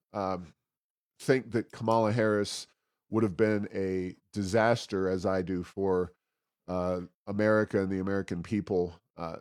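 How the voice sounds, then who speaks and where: A man hosting a podcast, giving conversational political commentary with frequent pauses mid-sentence. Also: sits low, darkish tone, no echo, studio quality. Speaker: podcast host.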